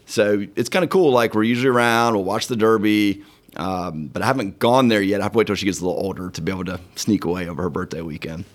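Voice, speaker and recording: Man, middle-aged, podcast host, lowish voice, upbeat, sincere, casual chat. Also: fast. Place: law firm conference room.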